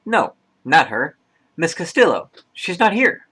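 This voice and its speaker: A man playing an excitable, scatterbrained absent-minded professor in a radio drama. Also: on the high side, measured pace, slightly rough, heavily acted. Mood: flustered.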